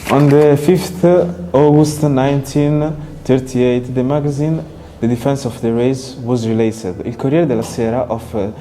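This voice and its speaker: A man's voice: medium pitch, dark tone. Italian-accented man narrating earnestly. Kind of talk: scripted documentary narration.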